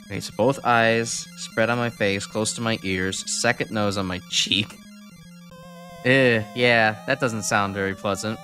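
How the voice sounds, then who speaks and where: A man's voice: on the low side, steady tone, a little slow, bright, forceful tone, soft background music. A young man from Chicago with a Chicago accent, rambling and correcting himself while chatting on a game stream. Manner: rambling, self-correcting, chuckling. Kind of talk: casual game stream chat.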